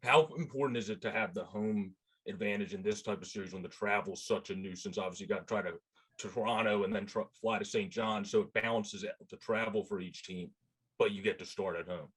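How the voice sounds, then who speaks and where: A man's voice: fairly low pitch, brisk, a bit bright, breathy edge, poor audio. A man, a reporter, asking a question at a press conference while losing his voice. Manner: asks a long, winding question.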